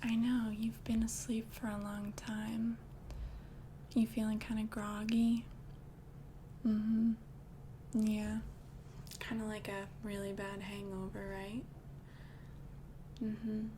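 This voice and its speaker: A young woman voicing a caring young vampire girlfriend, mostly whispering in a casual, rambling way, recorded close with loud hiss behind. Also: medium pitch, completely flat delivery, slow, pauses often, natural voice. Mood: gentle whisper. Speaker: ASMR creator. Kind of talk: ASMR roleplay.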